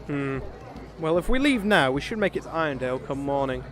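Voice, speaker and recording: An actor with a British accent playing a pompous, oblivious gentleman knight in a comedic fantasy audio drama. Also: fairly high pitch, sing-song, fairly quick. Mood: eager, self-satisfied. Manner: pompous, theatrical, chatty.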